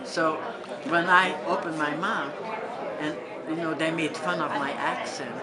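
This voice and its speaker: A woman's voice: low voice, fairly even intonation, unhurried, over a noisy crowd. An elderly woman from Transylvania, a native Hungarian speaker with a Hungarian accent, recalling her life in a conversational way and addressing her listeners directly. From Transylvania, Romania. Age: older, 70s or 80s. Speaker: Holocaust survivor giving testimony. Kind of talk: spoken personal recollection to an audience. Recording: large room with audience murmur.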